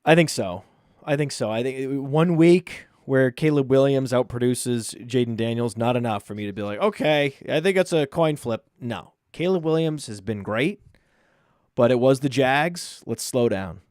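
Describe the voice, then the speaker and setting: A man from Maine with a mostly suppressed Maine accent, hosting a casual sports podcast, often repeating words and trailing off quietly. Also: mid-range voice, moderate pace, no room sound, studio quality. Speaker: podcast host.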